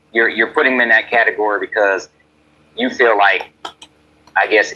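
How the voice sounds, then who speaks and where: A man hosting a talk show, giving a measured, hedging opinion with ums. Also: fairly low pitch, pushed and bright. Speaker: host. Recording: dull lo-fi audio.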